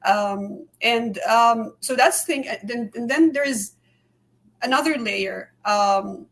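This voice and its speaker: A woman speaking in a somewhat high voice. She talks earnestly and candidly as a podcast guest, often repeating words and qualifying herself as she goes. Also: slow, vocal fry. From immigrant to Canada. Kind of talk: candid conversational interview.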